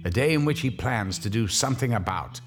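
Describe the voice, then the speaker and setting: A man's voice: mid-range voice, lively intonation, fairly quick. A man narrating a radio drama from a script in a dramatic storytelling style. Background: guitar music.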